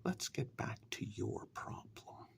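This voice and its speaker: A man playing a menacing, mocking warlock in a soft-spoken ASMR dark fairytale role-play, with slow, taunting questions and sly reassurance. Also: lightly acted. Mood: falsely reassuring. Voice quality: breathy half-whisper with gravelly creak.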